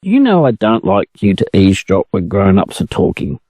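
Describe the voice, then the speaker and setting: A man's voice: lowish voice, very animated, moderate pace, dark tone, breathy edge, dry recording. An Australian man voicing a sociable, mildly strict mother duck in a storybook reading. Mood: mildly scolding. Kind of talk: storybook character voice.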